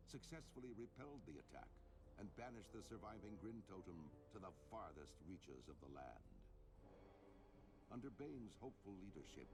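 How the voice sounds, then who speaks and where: A man playing a solemn, dramatic storyteller, narrating a fantasy game intro with deliberate delivery. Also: lowish voice. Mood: solemn, hopeful.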